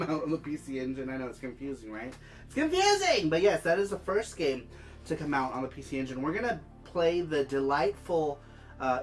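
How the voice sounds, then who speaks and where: Stuffy-nosed livestream host over music. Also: mid-range voice. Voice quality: congested, stuffy nose. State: head cold. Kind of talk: casual gaming livestream chat, reading viewer comments. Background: background music.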